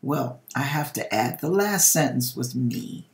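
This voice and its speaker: Slow, theatrical middle-aged American woman. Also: rumbling low, animated. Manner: theatrical, emphatic, with drawn-out words. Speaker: host reading viewers' dream accounts. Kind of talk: dramatic reading and direct address to viewers. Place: home kitchen, indoors.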